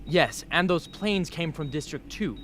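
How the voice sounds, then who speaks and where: A man's voice: fairly high pitch, animated, measured pace, fairly bright tone. A man playing a hardened, argumentative young rebel soldier in an amateur radio drama, insistent and matter-of-fact. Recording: noisy amateur radio play recording.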